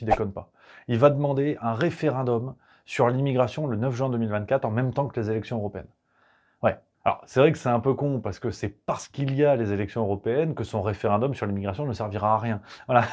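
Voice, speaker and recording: A man's voice: mid-range voice, a little mellow, highs cut off. A man in the middle of the male range, riffing with sarcasm and crude jokes. His talk is loose and chatty, full of asides to the listener. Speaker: host. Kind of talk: satirical political commentary.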